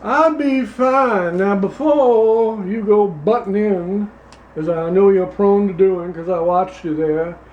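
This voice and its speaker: Man doing a slow, high-voiced, folksy Louisiana blowhard politician, echoey room. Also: a little mellow, dull audio. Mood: pompous. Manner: pompous, folksy, rambling. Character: blustering male Louisiana politician running for governor. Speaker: comedy performer. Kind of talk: improvised comedy sketch. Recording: roomy echo.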